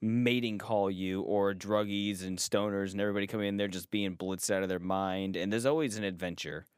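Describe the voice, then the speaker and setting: A man's voice: on the low side, steady tone, brisk, clean tone, no echo, clean studio sound. Cursing, joking male podcast host. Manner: loose, joking, swears often. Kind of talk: casual chat.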